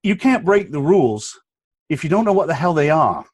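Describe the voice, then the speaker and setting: A man voicing his stern old grandfather, giving advice in a gruff, emphatic, lecturing way. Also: fairly high pitch, very animated, moderate pace, no room sound. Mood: stern, amused.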